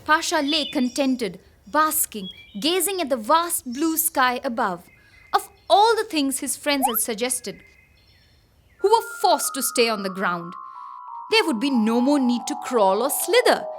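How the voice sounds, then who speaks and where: A woman narrating a children's bedtime story in an expressive, scripted style. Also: high voice, measured pace. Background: background music.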